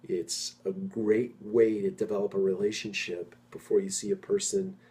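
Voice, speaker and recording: A middle-aged man talking informally to his industry peers as an executive host, stressing points by repeating phrases and pausing mid-sentence. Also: on the low side, little pitch movement, warm tone. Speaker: property management executive and host.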